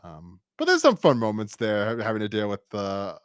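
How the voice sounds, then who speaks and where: A man with a fairly low voice talks casually as a podcast host reviewing a story. He rambles and stumbles, doubling back over his words. The sound is close and dry. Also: wildly swooping pitch, moderate pace, smooth, no echo.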